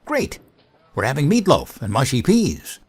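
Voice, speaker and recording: An earnest, slightly bumbling American president played by a man in an audio comedy. His mid-pitched voice moves up and down with great animation. He hesitates and stammers with childlike sincerity. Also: wildly swooping pitch, measured pace, slightly rough, heavily acted. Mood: breezy, casual. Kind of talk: comedic audio drama acting.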